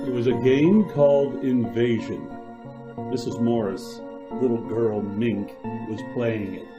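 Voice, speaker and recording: A man narrating a radio drama in a measured storytelling manner. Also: mid-range voice, highs cut off, over music.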